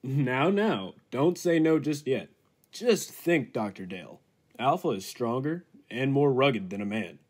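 A man playing an earnest, persuasive laboratory assistant in 1940s radio style, with a husky voice. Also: mid-range voice, expressive, unhurried. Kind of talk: 1940s radio drama acting.

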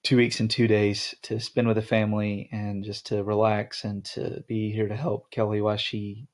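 A man's voice: lowish voice, level tone, moderate pace. A young man hosting a podcast, chatting casually with his wife, rambling with lots of ums and restarts. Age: young adult. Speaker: podcast host.

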